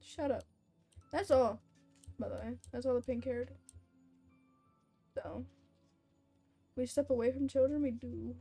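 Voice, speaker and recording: High-voiced, slow-paced young woman streamer. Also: fairly even intonation, vocal fry, dark tone, slightly rough. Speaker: game streamer. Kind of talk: casual livestream chat while gaming. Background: faint game music.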